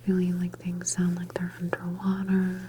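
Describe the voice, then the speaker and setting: A woman whispering softly and breathily in an ASMR medical roleplay as a calm, reassuring doctor examining a patient. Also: on the low side, a little hiss or hum. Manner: gentle, unhurried, reassuring bedside manner. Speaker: ASMR performer playing a government facility doctor.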